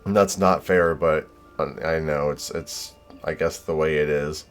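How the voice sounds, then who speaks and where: A man's voice: very deep voice, fairly even intonation, unhurried, faint music behind. A young man streaming a game, chatting casually with viewers in a modest, hesitant way full of fillers.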